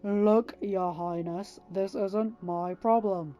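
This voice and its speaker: A woman voicing a cynical, selfish male smuggler pilot in a story reading, gruff, irritable, slangy and dismissive. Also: medium pitch, steady tone, takes it slowly, warm tone, faint music behind, lightly acted. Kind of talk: dramatised audiobook reading.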